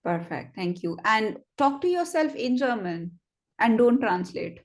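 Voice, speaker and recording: A woman's voice: fairly high pitch, very animated. Chatty young woman giving friendly advice. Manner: chatty, encouraging, quick asides with fillers. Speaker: host of a student network session. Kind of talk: interactive online session, casual advice.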